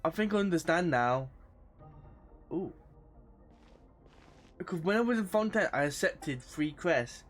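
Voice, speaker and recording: A woman's voice: on the low side, expressive, measured pace, pauses often. A woman giving loose, rambling playthrough commentary as a game streamer, casual and sweary, sometimes reading game text aloud. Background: game music.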